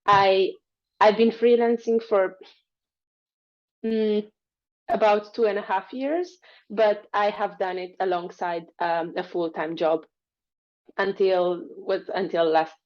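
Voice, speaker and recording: A woman's voice: mid-range voice, fairly even intonation, takes it slowly, pauses often. A woman from Romania, a freelancer guest, answering interview questions in a friendly, fluent way with some fillers. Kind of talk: interview answers over a call. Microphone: video call. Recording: dull lo-fi call audio.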